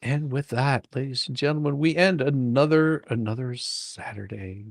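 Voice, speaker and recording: A man's voice: medium pitch, normal speed, gravelly, no room sound, clean studio sound. A man hosting a live stream, chatting casually and jokingly with his co-hosts. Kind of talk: casual group chat, joking.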